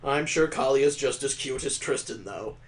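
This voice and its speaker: A man's voice: medium pitch, moderate pace, close to an everyday voice. A man voicing a polite, earnest young female school teacher, read aloud from a visual novel.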